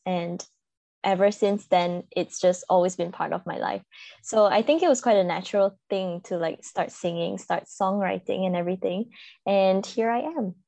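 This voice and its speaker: A woman's voice: fairly low pitch, vocal fry, mellow, no echo. A woman with a Malaysian accent giving reflective, informal answers as a singer-songwriter guest in an interview.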